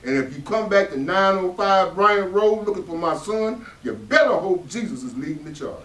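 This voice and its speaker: A man's voice: sits high, lively intonation, normal speed, a bit bright. A man with a Southern American accent playing an embittered, protective father in a stage reading, in emphatic folksy speech. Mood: defiant, angry. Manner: emphatic, folksy vernacular speech with dramatic swells. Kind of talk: stage readers theatre acting. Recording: echoey room, distant stage microphone.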